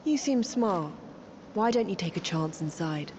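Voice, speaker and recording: An actress playing a guarded, knowing female stranger with a brisk manner in a video game cutscene. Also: fairly low pitch, expressive, darkish tone. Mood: coolly advising. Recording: dull sound with background noise.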